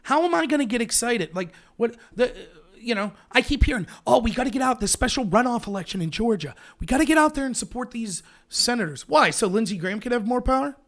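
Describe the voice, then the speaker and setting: Man, very high-pitched, livestream rant, sarcastic and emphatic. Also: expressive, fast talker, few pauses, fairly bright tone. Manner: emphatic, sarcastic, rhetorical questions.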